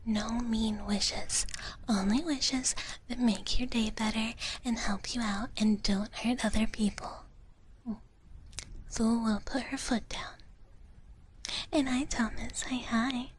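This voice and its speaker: A woman whispering softly and breathily in a cutesy ASMR roleplay as a cozy dragon big sister, chatting with viewers close to the mic. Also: on the high side, fairly even intonation, slow, breathy edge. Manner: cutesy, speaks of herself in the third person. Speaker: ASMR livestream host.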